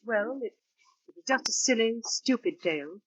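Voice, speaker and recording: An actress playing a resigned school housekeeper who gossips with feigned reluctance, in 1940s radio style. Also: female voice, on the high side, expressive, moderate pace, a bit bright, slight background noise. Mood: coy, resigned. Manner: hesitant, insinuating. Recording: dull restored 1940s radio recording.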